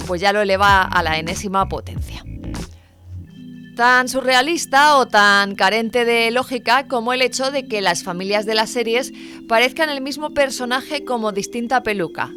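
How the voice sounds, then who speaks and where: A woman with a fairly high voice narrates over clearly audible music. She reads a light, scripted list in a playful, wry way, often framing things as rhetorical questions. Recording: music behind the voice.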